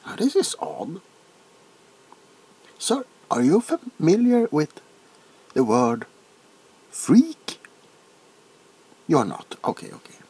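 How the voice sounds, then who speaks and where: A middle-aged man playing a nervous, bewildered, awkwardly polite doctor in a soft-spoken comedic ASMR roleplay, stammering and repeating himself. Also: on the high side, huge pitch swings, slow, pauses often, slightly rough, exaggerated voice, rough recording. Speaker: ASMR performer.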